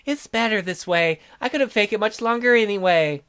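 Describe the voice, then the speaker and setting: A man's voice: high-pitched, normal speed, a bit bright, clean tone. A man voicing a weary young noblewoman who speaks in an earnest, confessional way. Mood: resigned. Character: weary, sorrowful young noblewoman, regretful ruler.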